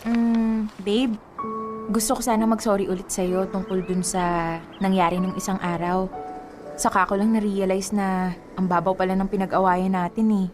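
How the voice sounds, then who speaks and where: A woman's voice: mid-range voice, little pitch movement, normal speed, music in the background, lightly acted. A woman playing a sweet, playful, superstitious young girlfriend in a Tagalog radio drama, with a Filipino accent. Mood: light, apologetic.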